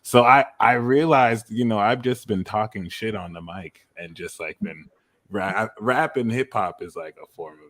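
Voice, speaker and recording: A man chatting casually as a podcast panelist, halting and self-deprecating. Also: fairly low pitch, a bit bright. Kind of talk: casual chat.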